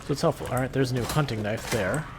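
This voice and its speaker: A man streaming a game live, talking through his play in a calm, soothing, laid-back way. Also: mid-range voice, fast, noisy background. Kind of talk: casual live stream gameplay commentary.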